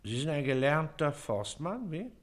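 An actor playing a pompous, self-important Prussian magistrate in a stage comedy, haughty and given to stalling fillers. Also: male voice, fairly high pitch, sing-song, normal speed. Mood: officious, probing. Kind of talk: theatrical stage comedy acting.

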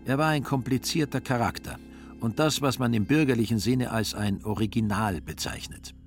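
Gravelly male literary narrator over music. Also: mid-range voice, normal speed. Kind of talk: literary narration in a radio drama.

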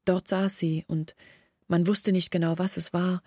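An actress playing a sorrowful village woman who recounts her family's disgrace in a measured, brooding literary audio drama. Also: on the low side, little pitch movement, a little mellow, dry recording, clean studio sound. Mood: sorrowful, reflective. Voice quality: husky, gravelly.

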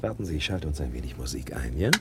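Bossy, excitable radio-play detective, swooping intonation. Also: male voice, a bass voice, quick pace. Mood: soothing, smug. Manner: commanding, swinging from soothing to barked orders. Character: male private detective, bossy, excitable, falsely soothing. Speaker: radio drama actor. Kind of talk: 1960 German radio drama acting.

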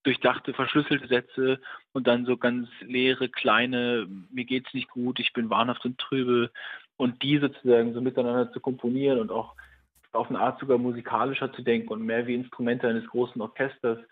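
A man's voice: mid-range voice, little pitch movement, moderate pace. A man being interviewed as a writer, reflecting on his own work in a tentative, searching way and often correcting himself. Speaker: writer and radio play director being interviewed. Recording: tinny phone-like line.

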